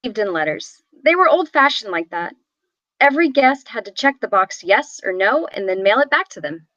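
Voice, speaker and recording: A woman's voice: fairly high pitch, big pitch swings, moderate pace, bright, forceful tone, dry recording, dull audio. A woman reading the part of a haunted young woman who reflectively narrates her family's tragic story at a script table read.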